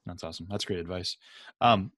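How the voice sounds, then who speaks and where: A man's voice: deep voice, brisk, a bit bright, no room sound. A man hosting a podcast, talking in a casual, conversational interview style. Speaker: podcast host.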